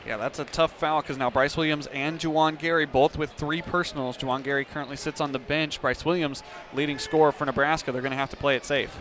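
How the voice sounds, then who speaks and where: A man's voice: on the high side, fairly even intonation, quick pace, few pauses. A man giving conversational, explanatory color commentary during a live radio basketball broadcast. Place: indoor basketball arena. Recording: noisy arena broadcast. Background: loud game noise, basketball bounces.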